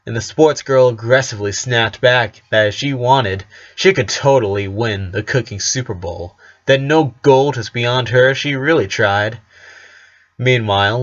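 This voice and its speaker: Man, mid-pitched voice, story narrator, expressive reading, occasional self-corrections, slightly dull lo-fi microphone. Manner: expressive, stumbles over words and corrects himself. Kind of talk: reading fan fiction aloud.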